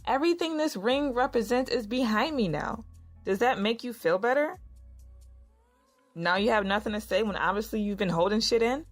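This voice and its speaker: A woman acting a sharp-tongued, jealous but caring lover in naturalistic audio drama dialogue. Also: on the high side, lively intonation, moderate pace. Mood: angry, defensive. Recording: music behind the voice.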